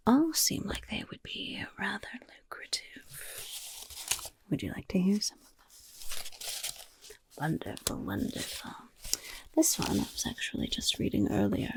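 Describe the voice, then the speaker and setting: A woman voicing a sly, gold-loving female goblin rogue in a whispered ASMR fantasy roleplay, breathy and coy, with rambling asides. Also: mid-range voice, exaggerated voice. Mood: chatty, inviting. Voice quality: breathy, husky, crackly whisper. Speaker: roleplay quest-giver for a rogues' league.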